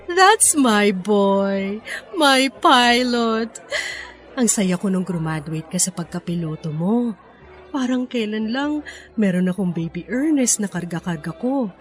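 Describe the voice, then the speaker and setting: A proud Filipino mother, affectionate and motherly in her delivery. She speaks at a middling pitch with expressive radio-drama warmth toward her grown child, over faint music. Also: slow. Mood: proud, sentimental. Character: doting, proud older Filipino mother of a pilot.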